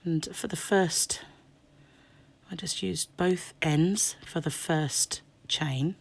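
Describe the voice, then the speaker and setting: This is a hoarse woman in middle age, her voice sitting low and roughened by a lingering croak. She explains crochet steps in a loose, chatty way, full of ums and small restarts. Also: takes it slowly. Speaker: crochet tutor. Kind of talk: casual crochet tutorial. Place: indoors at a table.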